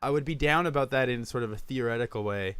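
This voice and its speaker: Raspy-voiced man, self-mocking podcast talk. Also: mid-range voice, fast talker, fairly bright tone. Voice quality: raspy from a sore throat. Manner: self-mocking, laughs often. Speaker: podcast co-host. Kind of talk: casual chat.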